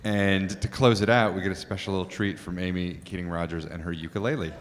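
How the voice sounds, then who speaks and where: A man making brisk announcements as a panel moderator. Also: low voice, fairly even intonation. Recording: distant in noisy crowded hall.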